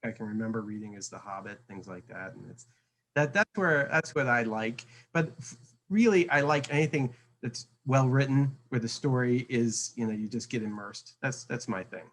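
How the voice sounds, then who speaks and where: Author guy in his 40s, relaxed and rambly, bright forward voice. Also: mid-range voice, moderate pace, slight background noise. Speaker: author being interviewed. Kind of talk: casual video chat.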